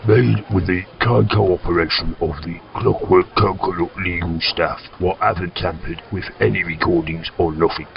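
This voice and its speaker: A man plays a sardonic comedy announcer with an extremely deep, croaky voice, reading mock official notices. The sound is slightly muffled with soft music. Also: fairly even intonation, normal speed, few pauses. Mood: mock reassuring. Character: deadpan, sardonic male sponsor announcer with crude asides.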